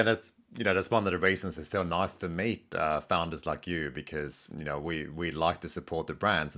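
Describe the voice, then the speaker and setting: Deep-voiced male interview host. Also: fairly bright tone. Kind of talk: casual interview.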